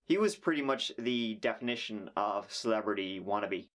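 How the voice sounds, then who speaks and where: A man's voice: on the low side, expressive, moderate pace, a bit bright. A young man narrating a true crime documentary, dropping in dry, sarcastic asides between the facts.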